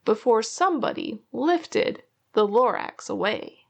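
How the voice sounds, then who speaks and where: Slow storytime lady, kinda high voice, very expressive, reading a rhyming kids book. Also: vocal fry, dull audio. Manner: expressive, theatrical delivery for children. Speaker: children's storybook reader. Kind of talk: read-aloud storytelling of a rhyming picture book.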